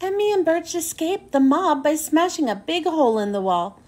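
A woman's voice: high voice, lively intonation, moderate pace, a little mellow. A woman reads a children's storybook aloud, phrasing carefully and expressively for young listeners. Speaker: children's book reader and host.

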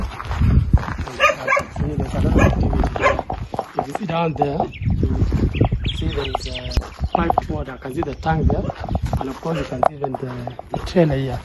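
A middle-aged man from Kenya chatting casually as a travel vlogger, outdoors in a rural village with a handheld camera, often pausing on fillers. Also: mid-range voice. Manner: chatty, many fillers and restarts. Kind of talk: casual vlog narration. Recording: distant mic, loud outdoor noise.

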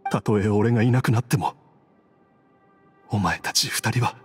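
A man acts out a dying, devoted father from a video game. His low voice is weary and solemn, with wistful pauses between phrases. Faint music plays underneath. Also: natural voice. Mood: pleading, resigned. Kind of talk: Japanese video game voice acting.

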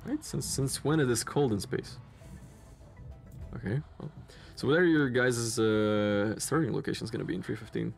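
A man's voice: medium pitch, steady tone, moderate pace, vocal fry. A young man with a Slavic accent, chatting casually while streaming a game, rambling with lots of fillers and restarts. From Czech Republic or Slovakia. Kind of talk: casual gameplay commentary. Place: home room in front of a gaming chair. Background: faint game music.

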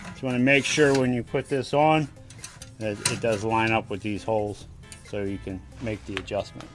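A man giving a casual step-by-step how-to while working on a boat trailer on his driveway, often turning away from a low camera. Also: steady tone, normal speed, over music. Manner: plain, practical, step-by-step explaining while working. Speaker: DIY vlogger. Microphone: camera mounted low on the ground, voice often off-mic.

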